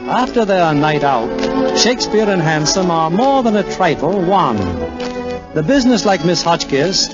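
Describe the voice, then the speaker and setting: A man's voice: sits high, expressive, moderate pace. A man narrating a scripted 1940s radio drama as its announcer. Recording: muffled 1940s radio, loud music behind.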